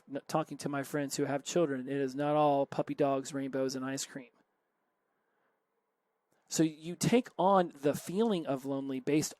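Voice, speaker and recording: A man's voice: fairly high pitch, darkish tone, dry recording. A man hosting a solo self-help podcast, talking earnestly and conversationally with frequent self-corrections. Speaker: podcast host.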